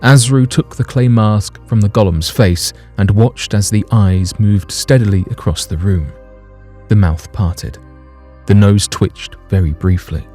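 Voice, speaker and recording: Male narrator for an audio drama, dark low voice, literary storytelling, light music bed. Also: a little slow.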